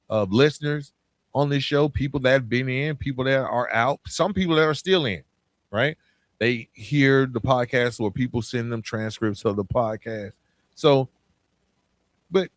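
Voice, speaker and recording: A man's voice: mid-range voice, no room sound. An American man hosting a podcast, speaking in a casual motivational monologue, emphatic and colloquial, putting questions straight to the listener. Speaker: podcast host.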